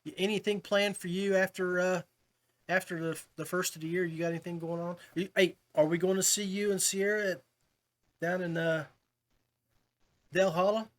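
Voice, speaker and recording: A man's voice: high voice, level tone, normal speed. An American man hosting a casual fishing podcast, chatting with guests in a friendly, chummy and appreciative way. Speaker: podcast host.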